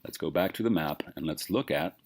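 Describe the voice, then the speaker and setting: Deep-voiced male teacher lecturing. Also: brisk, a little mellow. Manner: rhetorical questions, emphatic. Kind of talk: classroom lecture.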